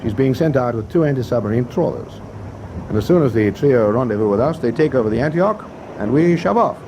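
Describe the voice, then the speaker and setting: An actor playing a seasoned British warship captain in a radio drama, speaking with dry, jaunty understatement. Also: male voice, medium pitch, expressive, a little fast, lightly acted. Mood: briskly pleased. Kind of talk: full-cast radio drama acting. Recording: noisy ship ambience behind voice.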